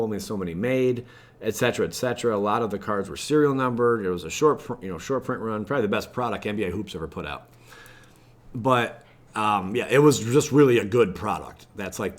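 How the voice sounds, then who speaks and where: A man with a fairly low voice and an American accent talks like a gaming channel host. He rambles informally in a casual, chatty way, dropping in mildly exasperated asides as he goes. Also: expressive, a little fast. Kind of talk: casual livestream chat, reacting to web pages.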